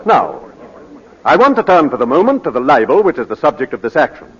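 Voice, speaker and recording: An actor playing a formal, courteous British barrister examining his client, with measured oratory in 1940s radio drama style. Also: mid-range voice, very animated, fairly bright tone. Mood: measured, probing. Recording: muffled vintage 1940s radio broadcast.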